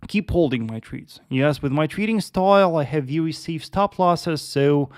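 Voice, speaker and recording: A man's voice: on the high side, expressive, moderate pace, no echo, studio quality. A young man from Russia with a Russian accent, hosting a live trading analysis stream and answering viewers' chat questions patiently, with frequent "uh" and "yes.". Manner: patient, repetitive, lots of uh and yes. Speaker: trading livestream host.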